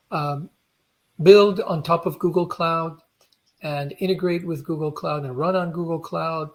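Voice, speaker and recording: A man introducing himself on a tech panel, speaking with many fillers and pauses. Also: a little high.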